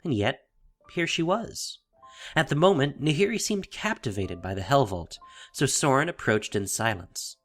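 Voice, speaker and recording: A man narrating a fantasy audio drama in a deliberate, theatrical storytelling style. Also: medium pitch, expressive, fairly bright tone. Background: music.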